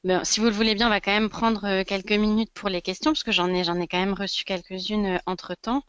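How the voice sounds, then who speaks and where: A woman with a smooth, mid-pitched voice moderates an online conference. She is courteous as she hosts and relays questions, recorded close and dry. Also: fairly even intonation, brisk, dry recording, muffled recording. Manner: courteous, polite. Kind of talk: hosting and reading out audience questions.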